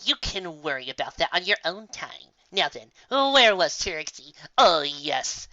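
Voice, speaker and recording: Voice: medium pitch, sing-song, unhurried, bright, forceful tone, no echo, dull audio, voice pushed up. A reader voicing a haughty, dismissive female unicorn stage magician in a fan story, with grandiose, faintly condescending delivery.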